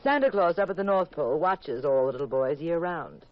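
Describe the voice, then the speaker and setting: An actress playing a detective's sharp, teasing wife in a 1950s radio mystery, with an arch, sardonic delivery. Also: female voice, deep voice, animated, fairly quick. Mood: teasing, sarcastic. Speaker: lead actress and narrator. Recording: muffled vintage radio recording.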